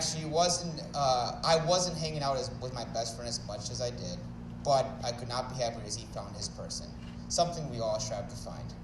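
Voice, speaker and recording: A man's voice: mid-range voice, far from the mic, background noise. Joking young Chicago man in echoey hall. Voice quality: mumbles a little. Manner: joking, self-deprecating, nervous at first. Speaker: wedding speaker. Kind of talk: wedding toast. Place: wedding reception hall.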